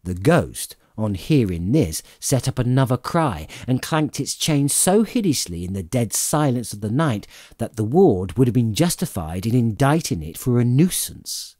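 A man's voice: medium pitch, big pitch swings, normal speed, hardly any pauses, warm tone, no room sound, clean studio sound. A man narrating classic fiction as a dramatic audiobook reading.

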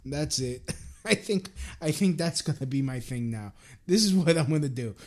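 A man's voice: fairly high pitch, lively intonation, normal speed. A man hosting a live prank call show in casual chat, often putting on earnest politeness.

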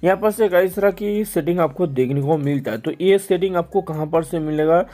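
A man's voice: fairly high pitch, moderate pace, mellow. A man hosting a casual step-by-step phone tutorial, addressing viewers directly and repeating his instructions. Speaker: tech tutorial host. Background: background music.

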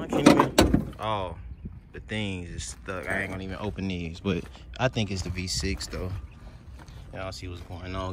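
A man giving a casual, teasing walkaround of wrecked cars at an outdoor auction lot, filmed on a handheld phone, talking to viewers as y'all. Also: sits low. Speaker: car flipping vlogger. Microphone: handheld phone camera. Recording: phone video with outdoor noise.

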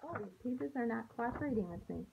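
A woman in her thirties, a teacher reading aloud to young children with an expressive, sing-song delivery, recorded on a handheld-distance device at home. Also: on the high side, a little fast, creaky, phone-quality sound, noisy background. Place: small room at home, indoors. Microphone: phone or laptop at arm's length.

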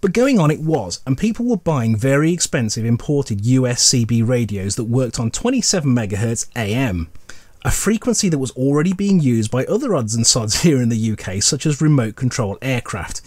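A man's voice: medium pitch, sing-song, moderate pace, darkish tone, no echo, studio quality. A middle-aged British man giving a chatty, scripted retrospective narration with wry, colloquial humour. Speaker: presenter.

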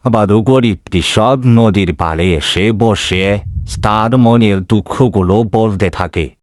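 Male storyteller, scripted folk tale, lowish pitch, slow delivery, dry sound, faint music. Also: dry recording.

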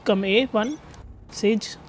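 A young man from Mumbai with an Indian accent, streaming a shooter game and calling out plays to teammates in English and Hindi. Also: high voice. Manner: rapid, mixing English and Hindi, giving quick callouts. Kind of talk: live gameplay chat with teammates.

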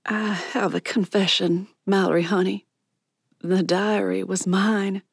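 A woman's voice: mid-range voice, steady tone, unhurried, gravelly, husky, dry recording. A woman acts out a sweet older lady from a novel. She is curious and tender, with a folksy, genteel way of talking, recorded dry and close. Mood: tearful, tender.

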